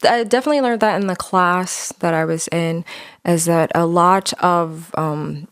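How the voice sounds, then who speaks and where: A young American woman talking casually as a podcast guest, her voice sometimes dropping to soft asides. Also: lowish voice, measured pace, clean tone, no echo, studio quality. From United States. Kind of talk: casual interview conversation.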